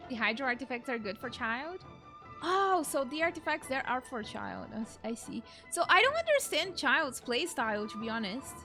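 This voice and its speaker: A woman's voice: sits high, very animated, normal speed, pushed and bright. A woman streamer giving casual, playful reactions while playing a video game. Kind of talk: casual let's play reactions. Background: game music.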